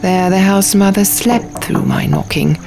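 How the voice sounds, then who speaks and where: A woman's voice: mid-range voice, measured pace, gravelly. A woman reading a dramatic first-person fantasy audiobook as narrator. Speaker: audiobook reader. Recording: music behind the voice.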